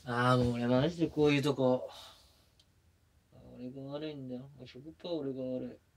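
Smitten young boyfriend, male voice actor, medium pitch, tender, casual, hesitant, intimate ASMR roleplay. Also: fairly even intonation, normal speed, lightly acted. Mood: hurt, apologetic. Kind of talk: intimate ASMR situation-voice drama.

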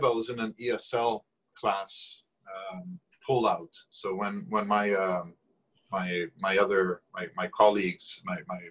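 A man's voice: lowish voice, a bit bright, slightly rough, low-quality recording. A Brazilian-born man in his forties whose first language is Portuguese, talking thoughtfully in a video call interview from a small room at home, often restarting and repeating words. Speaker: guest. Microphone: video call webcam.

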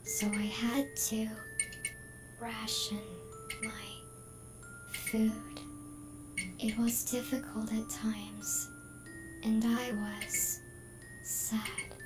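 A woman's voice: on the high side, level tone, very slow, long pauses. A synthetic-sounding female voice playing a lonely AI trapped inside a computer in a game, polite, earnest and oddly emotional. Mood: anxious. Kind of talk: game character dialogue. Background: game music.

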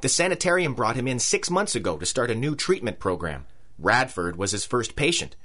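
An actor playing a nervous, reluctant asylum worker turned informant in a pulp radio drama, flustered and protesting. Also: male voice, medium pitch, very animated, a little fast, fairly bright tone. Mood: cowed. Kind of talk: 1930s-style pulp radio drama acting.

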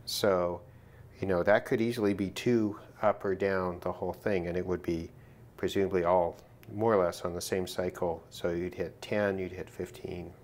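A man giving an informal hands-on gear demonstration, thinking aloud in a measured way as he goes. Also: deep voice, fairly even intonation, darkish tone. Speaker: hobbyist demonstrating a shortwave radio test. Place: indoors at a work desk.

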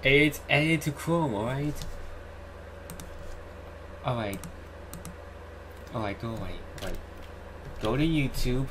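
A young man giving a casual screen-recorded tutorial in a halting, repetitive way. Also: medium pitch. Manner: halting, repetitive, says "alright" often. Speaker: YouTuber host. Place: indoor room at home. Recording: clearly noisy home recording, keyboard typing.

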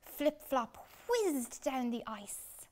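Slow, animated woman reading a storybook. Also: sits high, slight background noise. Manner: deliberate, dramatic pauses for young listeners. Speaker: children's storytime reader. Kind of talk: expressive picture-book reading. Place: small furnished room indoors.

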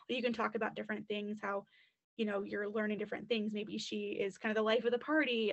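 A woman's voice: mid-range voice, vocal fry, dull audio. A woman college admissions counselor answering questions on a webinar through a computer mic, chatty and full of fillers.

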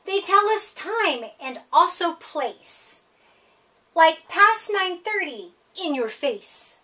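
Slow, high, animated woman reading to children. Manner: expressive, sing-song emphasis on rhymes. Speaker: storytime reader. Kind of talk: children's picture book read-aloud.

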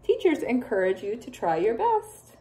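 A young woman teacher reading a picture book aloud to children, expressive and full of playful asides. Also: a little high, moderate pace. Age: young adult.

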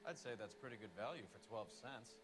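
An actor playing a cynical, wisecracking police detective partner with a dry delivery in a video game. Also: male voice, medium pitch, brisk, music in the background, lightly acted. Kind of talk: scripted game dialogue.

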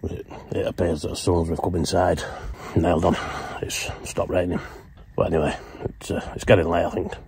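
A man's voice: deep voice, little pitch movement, fairly quick, darkish tone. A middle-aged man chatting casually to his phone camera by a lake, plain-spoken and often trailing off with an "uh". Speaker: angler vlogger. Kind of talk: casual fishing vlog to camera. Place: outdoors by a lake, and inside a fishing shelter at night. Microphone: phone held at arm's length. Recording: outdoor background noise clearly audible.

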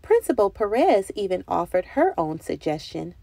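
A woman reading a children's picture book aloud in an expressive storytelling style. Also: medium pitch, moderate pace, vocal fry, no echo.

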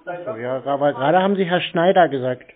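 A man's voice: a little high, quick pace, a crowd in the background. A man chatting casually on prank phone calls, answering tersely and playing dumb. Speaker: YouTuber taking scam calls. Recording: dull, muffled phone call audio.

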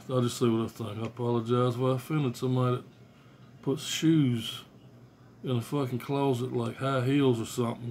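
Middle-aged man, blunt and profane, monotone delivery, faint room hiss, phone at arm's length. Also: mid-range voice, creaky. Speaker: music collector vlogger. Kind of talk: casual rambling show-and-tell. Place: small bedroom indoors. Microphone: phone camera at arm's length.

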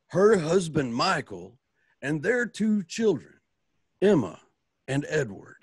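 A man playing the announcer of a Western radio serial, narrating theatrically and deliberately with swelling emphasis. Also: on the high side, dry recording, exaggerated voice. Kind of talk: scripted radio drama narration.

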